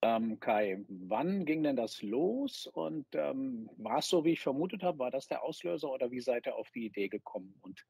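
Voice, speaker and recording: Male interviewer, fifties, husky mid-pitched voice, conversational, dry close sound. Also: very animated, normal speed, few pauses, darkish tone, no echo. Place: bright indoor room. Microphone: video call.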